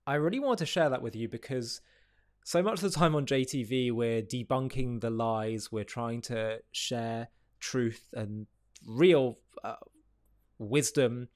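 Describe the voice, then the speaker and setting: Measured male presenter, close dry sound. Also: mid-range voice, dry recording. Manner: measured, deliberate, phrase by phrase. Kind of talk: reflective commentary to camera.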